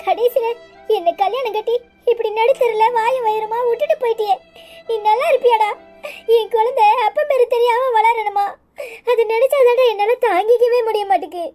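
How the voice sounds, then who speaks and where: Very high, tearful young village woman acted by a woman. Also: normal speed, warm tone, faint music behind. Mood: tearful despair. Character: despairing young pregnant village woman, abandoned. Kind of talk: melodramatic village serial acting.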